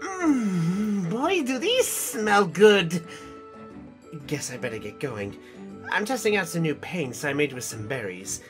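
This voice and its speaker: A casual, boyish traveling painter creature acted by a woman talks in a low voice with lively swings of pitch. He is laid-back, an audio drama character heard over music. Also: slow pace. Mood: casual, upbeat.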